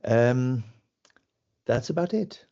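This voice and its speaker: A man's voice: medium pitch, lively intonation, slow, dark tone. A middle-aged man with a Swedish accent, a school principal introducing himself briefly and matter-of-factly over a video call. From Sweden. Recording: dull lo-fi video-call audio.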